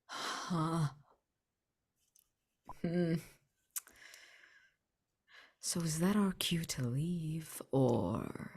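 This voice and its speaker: Woman player in an rpg, talks really slow, low pitch but bouncy intonation, casual. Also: lively intonation, a little mellow, breathy edge. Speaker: player in a tabletop game. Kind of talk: casual roleplay chat.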